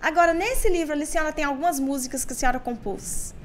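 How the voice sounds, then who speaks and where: A woman's voice: high-pitched, fast talker, a little hiss or hum. A woman hosting an interview who encourages and affirms her guest in a casual conversation. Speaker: interview host.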